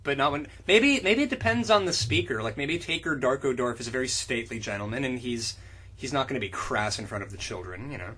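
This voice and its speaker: A man reads aloud for comedy and breaks off to banter. His mid-pitched voice is husky and bright, with a very lively melody and crude, sweary asides. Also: a little fast. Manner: crude, frequently laughing, swearing commentary. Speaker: podcast co-host. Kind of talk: comedic dramatic reading with banter.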